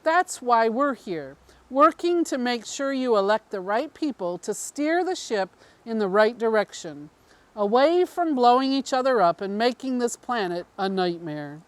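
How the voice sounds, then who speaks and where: A woman's voice: a little high, expressive, unhurried, no room sound. A woman reading the part of an undercover alien woman and devoted mother, explaining her mission in an earnest, wry, matter-of-fact way. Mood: wry, explanatory. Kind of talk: first-person story reading.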